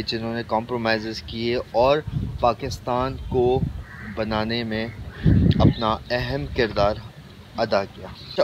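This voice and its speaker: Pakistani guy explaining stuff to viewers, lots of stop-start pauses, medium pitch, pretty noisy rumble behind him. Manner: halting, pausing often mid-sentence. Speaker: video host. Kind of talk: informal explainer talk to viewers. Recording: clearly noisy, vehicle-like background rumble.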